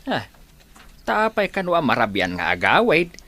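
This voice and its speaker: A man's voice: fairly high pitch, wildly swooping pitch, a little slow, sharp tone, exaggerated voice. A man playing a caring, watchful father who questions his grown children in an audio drama. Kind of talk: Ilocano audio drama acting.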